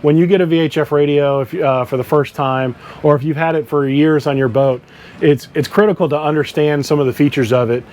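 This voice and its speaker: A man hosting an informal instructional boating video, speaking in a halting way as he searches for his words. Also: on the high side, steady tone, a little mellow. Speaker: boating channel host. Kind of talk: informal instructional explainer to camera. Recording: clear background noise.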